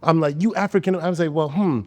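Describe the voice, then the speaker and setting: Animated man with a reverberant sound. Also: a little high, fairly quick. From United States. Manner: animated storyteller acting out other people's lines. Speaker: podcast co-host. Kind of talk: casual chat.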